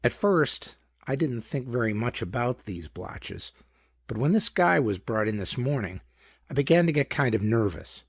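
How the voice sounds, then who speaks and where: A folksy small-town lawman acted by a male narrator from a pulp audiobook. He is plain-spoken and worried, explaining things in slangy, colloquial phrases with a rough, creaky voice and lively pitch. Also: normal speed, dry recording. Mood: uneasy.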